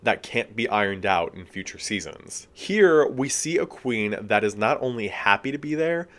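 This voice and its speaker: A man talks through a review in a chatty, expressive way. He fills his talk with casual asides and filler words. His voice sits fairly low. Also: moderate pace. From United States. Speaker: video reviewer. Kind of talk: casual commentary.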